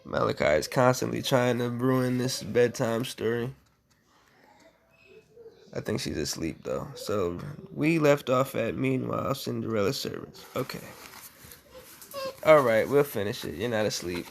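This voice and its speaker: A woman reading a bedtime story aloud in an animated style, with casual, playful and mocking asides. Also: very deep voice, a little slow, gravelly, long pauses.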